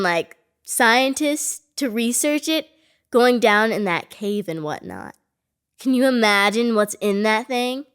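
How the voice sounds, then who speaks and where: A woman playing a brash but soft-hearted small-town country girl in an audio horror drama. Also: a little high, clear, resonant tone. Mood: skeptical.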